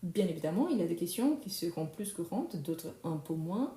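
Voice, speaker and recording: A young woman whose first language is Brazilian Portuguese, teaching a live French lesson slowly and repeating phrases for learners. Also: on the low side, sing-song, dark tone, roomy sound.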